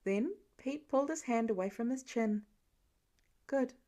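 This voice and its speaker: A woman reading scripted fiction aloud as an expressive audiobook narrator. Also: fairly high pitch, moderate pace.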